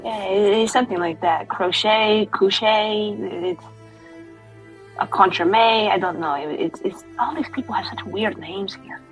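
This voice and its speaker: A woman's voice: medium pitch, a little slow, faint music behind, natural voice, rough recording. A woman playing a sassy, flippant rogue in improvised tabletop roleplay, rambling and teasing in slangy talk. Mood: flippant, casual.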